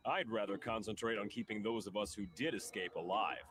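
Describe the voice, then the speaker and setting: A man's voice: fairly low pitch, fairly quick, crowd noise, close to an everyday voice. A man playing a wry, cocky but pragmatic escapee in a motion comic. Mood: calm, pragmatic. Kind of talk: dramatic motion comic dialogue.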